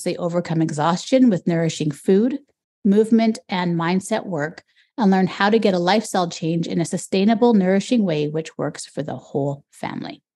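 A woman's voice: mid-range voice, mellow. A woman hosting an interview podcast, introducing and questioning her guest. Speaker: podcast host.

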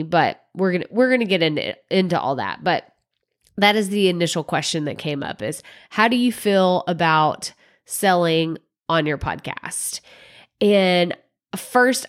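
A woman hosting her own podcast while congested from a cold, talking in a chatty, nasal, stuffy way. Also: fairly low pitch. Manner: chatty, conversational, with fillers like "like" and "um". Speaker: podcast host. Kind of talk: solo podcast talk.

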